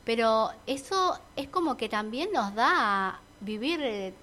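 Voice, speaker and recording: A woman's voice: fairly high pitch, expressive, a little slow, bright, forceful tone. A woman with an Argentine Spanish accent, an entrepreneur chatting casually on a talk show, reflective and full of fillers and restarts. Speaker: entrepreneur guest. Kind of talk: casual radio chat.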